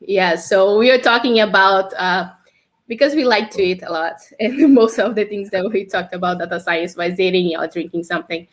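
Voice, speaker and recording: A woman's voice: medium pitch, normal speed. A young woman from Brazil with a Brazilian Portuguese accent, chatting casually as a guest on a livestream interview over a video call, laughing often. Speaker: guest, developer advocate.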